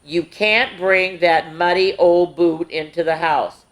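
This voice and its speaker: A woman voicing a caring mother dog in a storybook, gentle but firm with her pup. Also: lowish voice, steady tone, takes it slowly. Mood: gently scolding.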